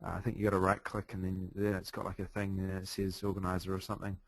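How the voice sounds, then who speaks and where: A man's voice: deep voice, completely flat delivery, fast talker, gravelly, dry recording. A man from New Zealand with a New Zealand accent, presenting a live webinar in an upbeat, chatty way with plenty of fillers, speaking from a hotel room over a computer mic. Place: hotel room late at night.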